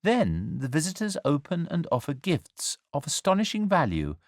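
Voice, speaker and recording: A man narrating a scripted story as a dramatic storyteller, with wry, measured and deliberate phrasing. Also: a little high, no room sound, studio quality. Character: angel narrating the nativity story, wry and urbane.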